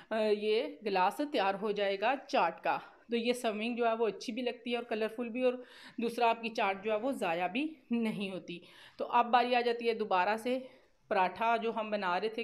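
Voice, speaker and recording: Higher-pitched Pakistani woman explaining recipes. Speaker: cooking vlogger. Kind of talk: casual recipe voice-over.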